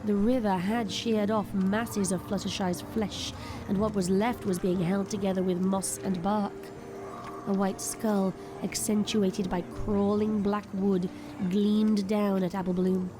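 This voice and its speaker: Woman narrating a dark drama over music. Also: mid-range voice, little pitch movement, measured pace.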